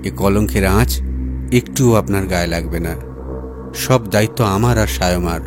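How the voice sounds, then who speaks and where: A man voicing an earnest, resolute lover in an audiobook, speaking in a slow, quiet, deliberate way. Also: sits low, over music, close to an everyday voice. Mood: quietly resolute. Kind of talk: acted audiobook dialogue.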